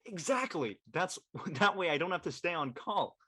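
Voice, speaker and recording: A young man playing a jokey, excitable college student with brash, slangy delivery in a comedic drama reading. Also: high voice, normal speed, full character voice. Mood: cheeky. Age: young adult.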